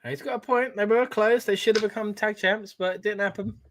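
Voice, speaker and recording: A man hosting a live watch-along, chatting excitedly and reacting as he reads out viewer comments. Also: sits high, fairly quick, slight background noise. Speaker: wrestling watch-along host.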